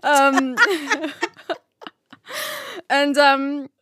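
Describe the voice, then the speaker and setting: Woman, slangy, laughs a lot, higher pitch, dry close sound. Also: drawn-out words, dry recording. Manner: slangy, laughs often, animated. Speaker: Bible study co-host. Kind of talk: casual Bible study chat.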